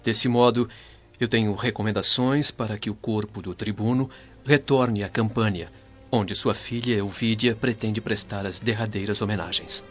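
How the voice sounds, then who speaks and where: An actor with a Brazilian accent playing a respectful, formal Roman manservant in a radio drama. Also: male voice, lowish voice, steady tone, moderate pace, a bit bright, close to an everyday voice. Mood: polite, formal. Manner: formal, deferential. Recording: dull lo-fi radio drama over music.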